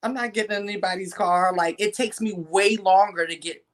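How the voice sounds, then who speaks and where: A woman's voice: medium pitch, fairly quick. A woman, a regular panelist on a casual live-stream chat, giving frank opinions. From New York.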